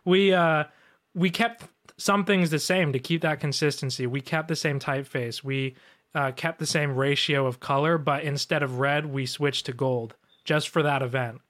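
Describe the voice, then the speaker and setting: A man's voice: on the high side, steady tone, moderate pace, fairly bright tone. A man who works as a designer, answering questions on a live show in a laid-back way with lots of fillers. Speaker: guest designer. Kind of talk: casual live Q&A.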